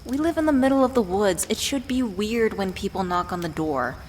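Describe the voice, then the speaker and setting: A woman's voice: mid-range voice, lively intonation, normal speed, a little mellow, close to an everyday voice. A woman playing a worried, affectionate witch who tenderly scolds a beloved partner in an ASMR roleplay audio drama. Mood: scolding. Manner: playfully exasperated, tender scolding with sighs. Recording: clear background ambient noise.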